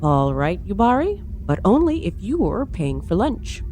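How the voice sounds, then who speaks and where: A woman's voice: medium pitch, big pitch swings, unhurried, mellow. A woman playing an alert, dryly humorous starship bridge officer in a fan audio drama, with music behind her. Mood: dry, doubtful.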